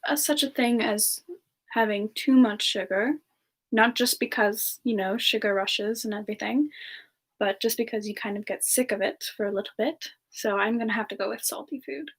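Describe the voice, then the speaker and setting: A woman playing a gentle, polite frost demon with no memory, speaking softly and hesitantly in an in-character ASMR-style Q&A. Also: on the high side, breathy edge. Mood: musing. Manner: hesitant, soft-spoken, slips into whispers. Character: gentle, polite amnesiac frost-powered demon woman, reborn from long ago. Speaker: streamer answering viewer questions in character. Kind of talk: semi-ASMR in-character Q&A, soft improvised chat.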